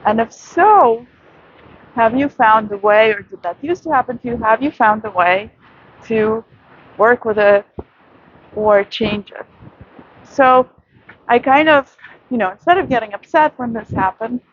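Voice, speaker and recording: High-voiced woman musing late at night. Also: breathy edge, highs cut off. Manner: soft, musing, with frequent pauses and fillers. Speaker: vlogger. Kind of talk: reflective late-night monologue. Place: outdoors at night in a city.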